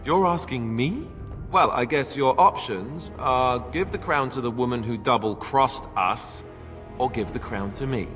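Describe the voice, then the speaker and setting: A man's voice: mid-range voice, a little slow, natural voice. A man playing a hesitant, self-deprecating, wry young prince who doubts himself, in dramatic fantasy game dialogue. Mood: reluctant, wry. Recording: long hall reverb, music underneath.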